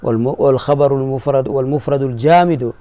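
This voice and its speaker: A man's voice: mid-range voice, steady tone, fast talker, dark tone, no echo. A man teaching Arabic grammar as a sheikh, patiently explaining and repeating key phrases and checking that his students follow. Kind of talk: classroom explanation of a grammar poem.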